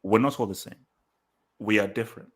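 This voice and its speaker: A man who grew up in Nigeria and England, co-hosting a podcast and thinking aloud in halting, restarting sentences. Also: lowish voice. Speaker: podcast co-host. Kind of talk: casual conversational podcast chat.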